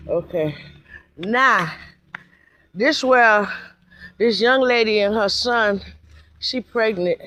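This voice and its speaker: Slow-talking woman giving a casual tour. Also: mid-range voice, fairly bright tone, a little hiss or hum. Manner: slow, chatty, calling viewer girl.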